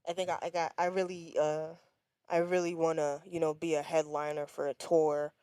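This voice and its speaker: A young woman, a singer and songwriter, answering interview questions on a podcast in a casual, halting way, often restarting her sentences. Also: low-pitched, little pitch movement, dark tone, dry recording, studio quality. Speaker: guest musician.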